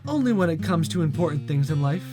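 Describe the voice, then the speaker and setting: A man's voice: a little high, animated, fast talker, over music, lightly acted. A man playing an earnest, persistent teenage schoolboy hero in a visual novel, talking casually.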